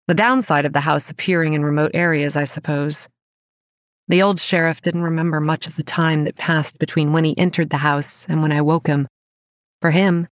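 An actress playing a young campground manager who narrates a horror audio drama in a wry, dry, understated way. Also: female voice, low-pitched, no echo, natural voice. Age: young adult.

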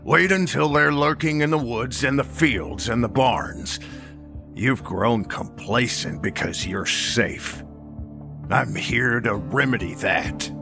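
An actor playing an old small-town sheriff in a horror audio drama, matter-of-fact, folksy and quietly threatening. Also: male voice, mid-range voice, slow, gravelly, music in the background. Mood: cold threat.

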